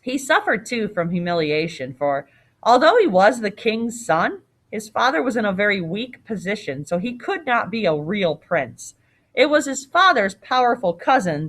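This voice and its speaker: A woman reads a story aloud in the manner of a teacher. Her medium-pitched voice moves expressively up and down, and she slips into casual explanation. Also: a bit bright.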